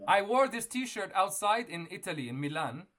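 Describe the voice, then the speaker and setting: A man with a high, animated voice that bounces all over, chatting with strangers on a video call. He plays it innocent and puzzled. His tone stays light and teasing. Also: normal speed. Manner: playful, feigning innocent confusion. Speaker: video chat prankster host. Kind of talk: casual video chat. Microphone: webcam or phone mic on video chat.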